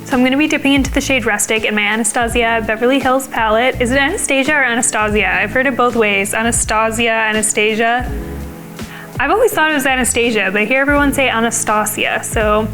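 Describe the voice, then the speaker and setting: A young woman, slightly raspy as she gets over a cold, explaining a makeup look step by step in a casual, chatty way. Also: on the high side, fast talker, a bit bright, faint music behind. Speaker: beauty vlogger.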